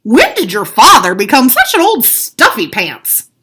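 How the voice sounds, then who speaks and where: A woman's voice: sits high, wildly swooping pitch, unhurried, bright, forceful tone, slightly rough, pitched above the performer's own voice, heavily acted. A woman voicing a playful, childlike male imaginary friend in a storybook, with a bouncy, exclamatory, eager way of talking. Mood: cheeky.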